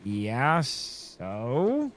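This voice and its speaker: A man's voice: mid-range voice, huge pitch swings, drawn-out words, long reverb tail, heavily acted. A man playing a weary, sardonic radio host in an audio drama, dry and dramatic, switching between first-person narration and dialogue. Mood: defensive, dry. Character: sardonic, weary young male radio host who survived a crash.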